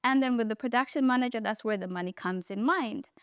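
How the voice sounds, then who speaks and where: A woman's voice: fairly high pitch, fast talker, clean tone, dry recording, clean studio sound. A young woman from Tanzania, a guest on a podcast, chats easily and laughs often as she explains her film work. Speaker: podcast guest, production coordinator and playwright. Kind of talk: casual interview chat.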